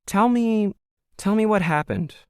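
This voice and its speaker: A man voicing a worried mother in an audio story, gently coaxing and concerned. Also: high-pitched, unhurried, clean tone, no room sound, clean studio sound, voice pushed up. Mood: consoling. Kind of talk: audio fiction character dialogue.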